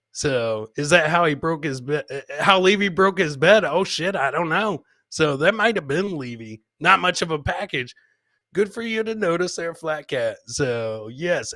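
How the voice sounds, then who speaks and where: A man's voice: a little high, a bit bright, dry recording, clean studio sound. A man hosting a live comedy podcast, bantering casually and rambling, with swearing and showman-like addresses to his audience. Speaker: podcast host.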